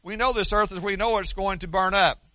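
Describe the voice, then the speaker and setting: A man's voice: sits high, steady tone, a little fast, bright, forceful tone, no echo. An older American man preaching a sermon in an earnest, conversational way, often restarting his sentences. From United States. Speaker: pastor.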